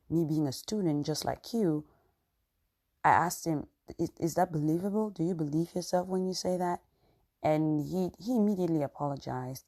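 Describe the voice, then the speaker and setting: Cameroonian-accented woman, low and mellow, earnest conversational vlogger. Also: vocal fry. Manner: earnest, conversational, frequent "you know" asides. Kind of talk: personal storytelling to camera.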